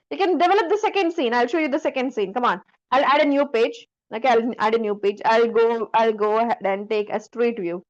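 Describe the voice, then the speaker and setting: High-pitched woman teaching a software tutorial. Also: rough recording. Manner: instructive, frequently checking the listener follows with short questions. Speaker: instructor. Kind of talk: screen-share software tutorial.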